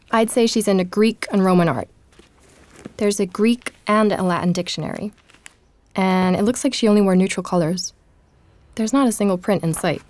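An actress playing a calm, determined woman journalist. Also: fairly low pitch, natural voice. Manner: measured, inquisitive. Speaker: journalist and podcast narrator. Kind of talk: scripted podcast narration in audio drama.

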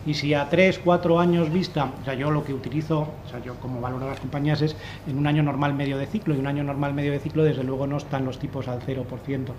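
A man's voice: mid-range voice, steady tone, fast talker, hardly any pauses, a little mellow, muffled recording, a little hiss or hum. A man in his thirties speaking on a panel in a conference room, explaining his analysis with many restarts. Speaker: fund manager on a panel. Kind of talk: panel discussion. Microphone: desk microphone.

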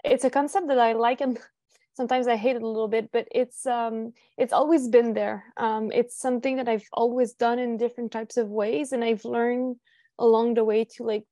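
A woman's voice: a little high, fairly even intonation, moderate pace, warm tone, no echo, studio quality. A young woman, a native French speaker with a Quebec French accent, presenting as a family physician on a webinar in a friendly, slightly hesitant way. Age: young adult. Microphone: video call.

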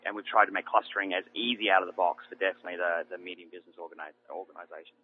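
Australian man, low voice, guest expert, relaxed, trailing ums, tinny phone-line sound. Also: racing. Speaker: guest product manager. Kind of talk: technical interview answers.

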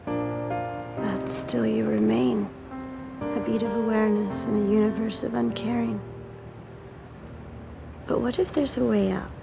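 An actress playing a haunting, wistful young woman, speaking slowly and softly in a film voice-over, with music behind her. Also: fairly high pitch, steady tone, vocal fry. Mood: haunted. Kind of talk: brooding film voice-over narration. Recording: film soundtrack with loud music.

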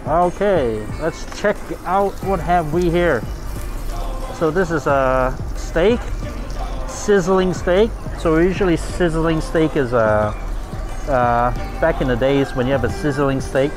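Chatty man touring a fast food menu. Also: fairly high pitch, music in the background. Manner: chatty, enthusiastic, filler-heavy. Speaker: food vlogger. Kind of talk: casual food vlog narration.

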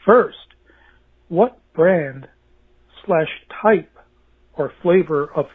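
A man's voice: a little high, animated, extremely slow, slightly rough. A man leaving a friendly voicemail question as a caller, laying out his points in order. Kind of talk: voicemail message. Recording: tinny phone voicemail line.

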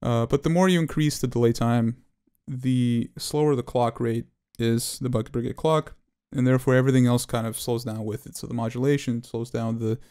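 A man presenting an unscripted software tutorial as its developer, explaining technical detail in a halting, hesitant way. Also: medium pitch, fairly even intonation, clean tone.

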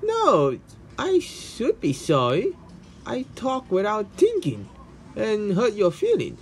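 A man voicing a friendly, soft-hearted robot with an earnest, pleading way of talking in an amateur comic dub. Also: high-pitched, wildly swooping pitch, takes it slowly, pitched above the performer's own voice, full character voice. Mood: reassuring, friendly.

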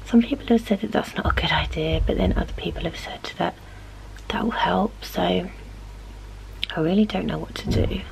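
A woman's voice: fairly low pitch, normal speed, creaky, rough recording. A woman, half-whispering casual chat while lying in bed with a stuffy nose and a sore throat, recorded on a camera close to her pillow. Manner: half-whispered, sleepy morning talk from bed. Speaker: vlogger.

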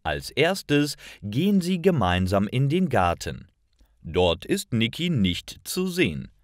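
A man reading stories for language learners in a careful, clearly articulated and expressive way. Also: mid-range voice, slow pace, dry recording, studio quality. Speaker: narrator of stories for German learners.

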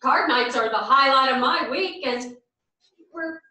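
A woman's voice: high voice, measured pace, bright, forceful tone. An actress playing an earnest, hopeful, sincere older woman in a theatrical staged reading. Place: small theatre stage, far camera. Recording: echoey theatre, distant microphone.

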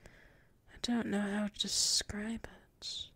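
A woman's voice: medium pitch, flat delivery, slow pace, a bit bright, breathy edge, no room sound. A woman playing a submissive yet proud tsundere werewolf in an intimate ASMR roleplay, murmuring hesitantly and often slipping into whispers. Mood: drowsy, content. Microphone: close mic.

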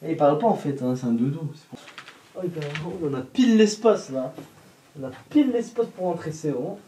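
A young man vlogging casually at home, excitable and chatty, talking straight to his viewers and laughing often. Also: on the high side, a little fast, slight background noise. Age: young adult. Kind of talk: casual vlog to camera. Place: indoor bedroom at home. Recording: roomy echo, voice from off-mic.

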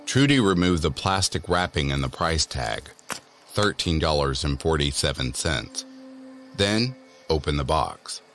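A man with an extremely deep voice narrates a scripted horror story. Faint music plays beneath his reading. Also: expressive, a little slow, fairly bright tone.

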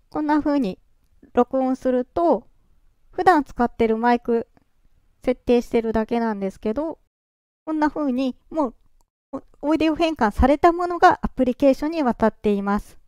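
A presenter walking through software setup step by step in a calm, explaining way, their voice run through a pitch-raising voice changer. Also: normal speed, long pauses, smooth, no echo, studio quality. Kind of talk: screen-recorded software walkthrough.

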